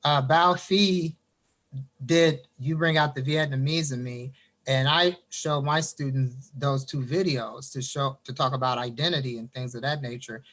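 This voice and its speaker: A man from Indiana, a poet, reading his poem and then chatting about his writing over a video call. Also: medium pitch. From Gary, Indiana. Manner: animated storyteller, quick bursts. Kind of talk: poetry reading, then casual chat.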